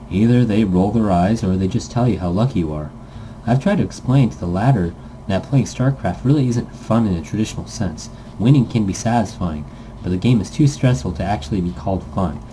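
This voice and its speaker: Dark-voiced low man reading aloud. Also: brisk, a little hiss or hum, rough recording. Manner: stumbles over words, corrects himself, jokey asides. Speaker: let's player reading a visual novel aloud. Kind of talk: casual read-aloud narration of a game script.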